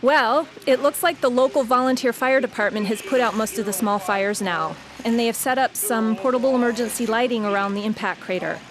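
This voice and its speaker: A woman's voice: fairly high pitch, fairly quick, hardly any pauses, close to an everyday voice. A woman playing a conversational, slightly uneasy field reporter describing a scene live in a radio drama. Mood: intrigued, reporting.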